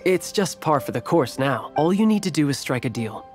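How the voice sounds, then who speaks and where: A man's voice: on the high side, animated, normal speed, darkish tone, soft background music, close to an everyday voice. A man voicing a composed trading guild agent in a video game, giving instructions. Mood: calm. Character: male trading guild agent, calm and businesslike.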